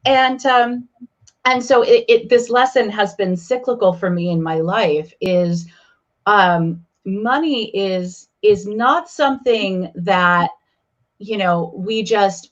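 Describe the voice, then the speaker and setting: Woman podcast host, open and confiding, halting, kinda muffled lo-fi audio. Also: medium pitch. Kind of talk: casual interview chat. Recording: slightly dull lo-fi sound.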